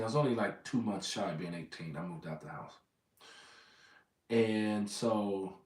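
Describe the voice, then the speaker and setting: A man's voice: on the low side, reverberant, far from the mic. An American man from Southern California in his thirties, rambling casually to his viewers and correcting himself as he goes. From Southern California, USA. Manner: meandering, corrects himself often. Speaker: vlogger.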